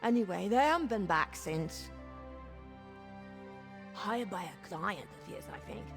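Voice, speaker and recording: A woman's voice: medium pitch, expressive, measured pace, soft background music. An actress playing a drunken female squatter in a fantasy video game, rambling, stumbling over words and lying badly. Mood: chatty, tipsy. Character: tipsy, rambling female squatter, chatty shameless bad liar. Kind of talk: video game character dialogue.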